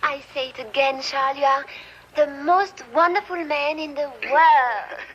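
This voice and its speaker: A woman's voice: sits high, a little slow, fairly bright tone, highs cut off, slight background noise. An actress playing a gushing young bride who dotes on her husband. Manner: gushing, breathy giggles. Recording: old TV sitcom audio with laugh track and music.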